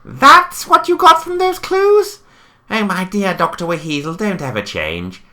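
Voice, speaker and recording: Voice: mid-range voice, very animated, unhurried, pushed and bright. A performer voicing a theatrical gloating rodent overlord in a comic sci-fi audio drama, with pompous, sneering delivery. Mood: scornful.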